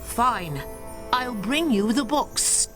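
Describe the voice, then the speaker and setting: A resolute elf heroine speaks slowly and with composure. Her medium voice moves expressively across her short lines. A video game protagonist. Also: on the high side, expressive, music in the background. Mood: determined. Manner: composed, measured, brief. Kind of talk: video game cutscene voice acting.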